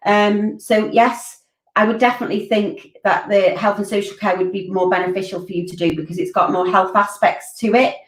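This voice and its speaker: A woman's voice: medium pitch, steady tone, moderate pace. Woman in her 40s answering stream questions from home, lots of ums, echoey room, sitting back from the laptop mic. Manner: informal and chatty, frequent ums and restarts. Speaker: college curriculum director hosting a Q&A. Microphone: laptop webcam on a video call. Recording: roomy laptop mic from a distance.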